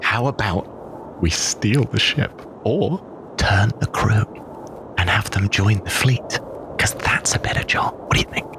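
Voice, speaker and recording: A man playing a tabletop game, talking casually and pitching plans in an animated, conspiratorial way. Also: unhurried. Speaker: player. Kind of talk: casual in-character table talk. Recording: loud noisy ambience.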